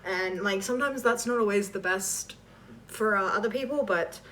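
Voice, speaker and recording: A woman's voice: medium pitch, normal speed, a bit bright. Rambling woman, a bit distant. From Canada. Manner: rambling, self-deprecating, trailing asides. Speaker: host of a fan voice-acting channel. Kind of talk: casual question-and-answer chat. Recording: distant mic with faint background noise.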